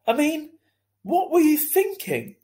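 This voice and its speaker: A man's voice: very high voice, huge pitch swings, slow pace, mellow, pitched above the performer's own voice, heavily acted. A man voicing an upset mother who scolds her son in shocked, emphatic tones for a children's story. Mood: exasperated.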